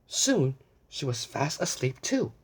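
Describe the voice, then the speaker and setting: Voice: mid-range voice, sing-song, slow pace, slightly rough. A person reading a picture book aloud to young children, stumbling and repeating words now and then, with exaggerated wonder. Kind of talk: children's read-aloud, addressing young viewers with questions.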